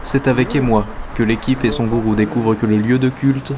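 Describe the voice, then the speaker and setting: A man's voice: medium pitch, fairly even intonation, a little fast, crowd noise. A man narrating with pompous, ironic mock-documentary solemnity.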